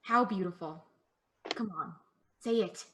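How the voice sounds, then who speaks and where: Bright, girlish, pestering daughter, played by an actress. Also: mid-range voice, measured pace. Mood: coaxing. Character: sheltered, eager young daughter, needy and insistent. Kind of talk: one-act play performed on a video call.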